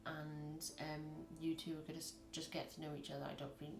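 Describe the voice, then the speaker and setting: A woman with a husky voice, reading tarot in a chatty, rambling way and acting out little scenes as she goes. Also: low-pitched, flat delivery, brisk. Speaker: tarot reader. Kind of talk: casual tarot reading to camera. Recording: echoey room.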